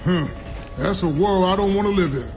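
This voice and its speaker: An actor playing a wry soldier comrade in a video game cutscene. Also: male voice, high voice, moderate pace, lightly acted. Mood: wary. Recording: music playing behind.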